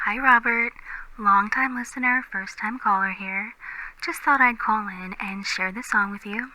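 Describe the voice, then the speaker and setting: A woman speaking softly and casually as a caller to a radio show. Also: mid-range voice, measured pace, dry recording, poor audio. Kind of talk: soft-spoken casual call-in.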